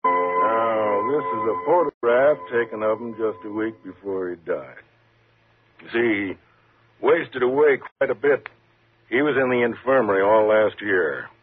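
An actor playing a calm, measured, patronizing prison warden in a 1950s radio thriller. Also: male voice, fairly low pitch, soft background music, lightly acted. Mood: grave, firm. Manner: measured, reassuring, condescending. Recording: muffled, lo-fi 1950s radio recording.

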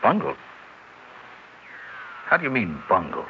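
An actor playing a scheming, persuasive American husband in a 1960s radio drama, smooth-talking but hesitant under pressure. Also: male voice, low voice, wildly swooping pitch, unhurried, heavily acted. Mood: alarmed. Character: scheming, smooth-talking husband who plotted his wife's murder. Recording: dull, hissy 1960s radio recording.

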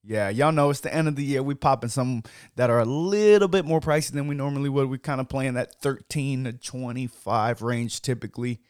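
A young man hosting a casual wine-tasting podcast, hoarse from yelling, talking loosely with lots of fillers and swearing. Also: medium pitch, a little fast, few pauses, no echo, clean studio sound. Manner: loose and chatty, many "like" fillers, swears freely. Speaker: podcast host.

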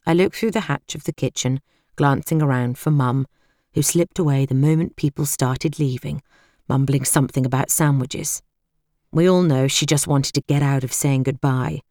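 A woman's voice: very deep voice, darkish tone, no echo, clean studio sound. A British woman reading first-person fiction as an audiobook narrator.

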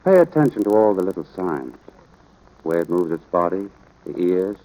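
A low-pitched, gruff American rancher dad in a vintage radio drama. He speaks firmly and plainly, like a stern father teaching his boy. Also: big pitch swings, moderate pace, warm tone, poor audio. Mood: earnest, instructive. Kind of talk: 1940s radio drama acting.

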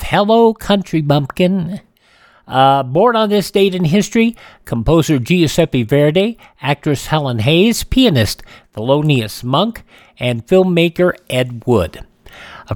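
A man's voice: on the high side, no echo, studio quality. A man hosting a radio show, reading this-day-in-history items with chatty asides and frequent uh fillers. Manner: chatty, fills pauses with uh. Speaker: radio host.

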